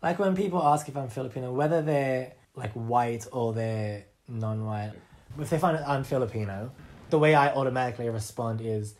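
Young man, Australian accent, medium pitch, casual interview talk, informal, self-deprecating. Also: moderate pace, darkish tone. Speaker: interviewee, artist.

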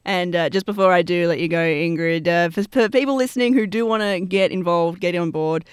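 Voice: mid-range voice, fairly quick, clean tone, no echo, clean studio sound. A radio interviewer asking questions in a casual, hesitant way with frequent uhs.

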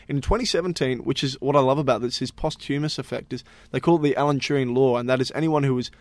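A man's voice: mid-range voice, quick pace, no echo. A man co-hosting a casual podcast, telling stories to his co-hosts in a chatty way, with lots of fillers. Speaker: podcast co-host presenting stories. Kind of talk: casual group chat.

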